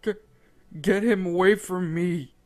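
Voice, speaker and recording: A man voicing a bitter, grumpy adult male squid neighbor who yells and sneers. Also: sits high, fairly even intonation, very fast, pitched above the performer's own voice, natural voice. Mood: trembling. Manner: yelling, sneering.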